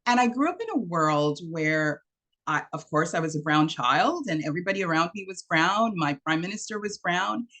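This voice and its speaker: A woman's voice: lowish voice, animated, normal speed. A woman in her fifties from Trinidad, giving an online workshop talk and telling stories with quoted lines, over a video call. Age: 50s. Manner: warm storytelling, acts out quotes. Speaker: workshop speaker.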